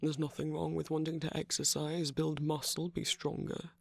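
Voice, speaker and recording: A man playing an affectionate, concerned villain in an intimate ASMR roleplay, speaking softly and deliberately with a husky, breathy, creaky voice. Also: fairly high pitch, flat delivery. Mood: earnest, careful. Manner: soft, deliberate, tender coaxing with whispered phrase endings. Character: affectionate, concerned male villain, slim and sickly.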